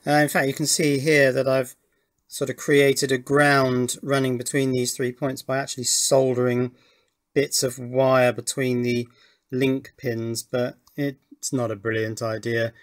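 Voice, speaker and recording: Male, electronics hobbyist, unscripted technical explanation, matter-of-fact, medium pitch. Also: little pitch movement, measured pace. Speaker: electronics hobbyist video host.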